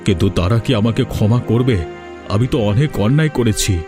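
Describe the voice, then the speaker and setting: An actor playing a rich, proud village landlord who turns humble, in a moral cartoon dub. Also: male voice, medium pitch, fast, natural voice. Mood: remorseful. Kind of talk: Bengali moral cartoon dubbing. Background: background music.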